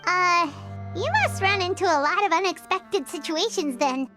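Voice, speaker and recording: A woman voicing a tiny, childlike female fairy companion in a video game, excitable and bossy, with a squeaky voice. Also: normal speed, over music. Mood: excitable, admiring. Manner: speaks of self in third person, indignant.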